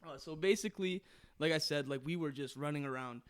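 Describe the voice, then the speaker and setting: Quick, higher-voiced young man. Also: clean tone. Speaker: band musician, interview guest. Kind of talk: casual interview chat.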